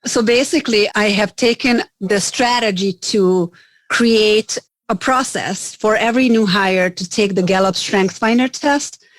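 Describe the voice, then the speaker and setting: A middle-aged woman from Hungary speaks as an interview guest, earnest and a little halting, looping back over her words and leaning on "you know". Her pitch sits in a middling range. The sound is dry and close, with no room around it. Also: no room sound, low-quality recording. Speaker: business owner, guest. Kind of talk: casual interview conversation.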